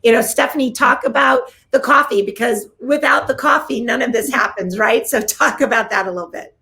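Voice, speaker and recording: A woman hosting a casual interview show, enthusiastic and full of praise, repeating her words when excited. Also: fairly high pitch, a little fast, vocal fry. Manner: enthusiastic, gushing, repeats "love". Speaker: host, realtor.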